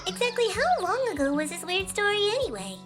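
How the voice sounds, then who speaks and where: A woman voicing a little opossum girl in an animated fandub, curious and bluntly skeptical. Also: extremely high pitch, fairly quick. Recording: loud music behind the voice.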